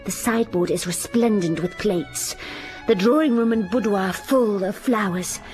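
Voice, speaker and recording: A woman's voice: mid-range voice, measured pace, a little mellow, natural voice. An actress playing a plain, self-critical young governess who narrates her own story in a radio drama. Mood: reflective. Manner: introspective, self-mocking. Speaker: narrator and heroine. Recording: music under the voice. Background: music.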